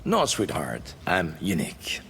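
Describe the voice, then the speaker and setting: An actor voicing a smug, flirtatious movie star fighter in a video game, brash in manner. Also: male voice, low voice, wildly swooping pitch, measured pace, creaky, fairly bright tone, exaggerated voice. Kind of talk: scripted fighting game banter. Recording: very noisy game capture.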